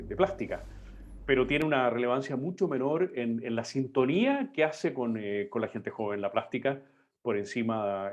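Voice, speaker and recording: A man's voice: mid-range voice, dull audio. An older man from Chile, a history professor giving a live online class in a loose, conversational lecturing manner full of fillers.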